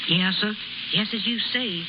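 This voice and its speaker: A woman's voice: medium pitch, normal speed, close to an everyday voice. A woman playing a folksy, skeptical household maid who speaks in dialect in a 1930s radio drama. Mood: concerned. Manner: folksy dialect, plain-spoken grumbling. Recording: muffled, crackly 1930s radio recording.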